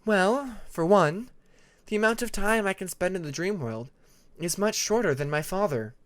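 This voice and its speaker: A man voicing a patient, earnest young female dragon who explains her magic in a measured way in an audiobook. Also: sits high, animated, voice pushed up.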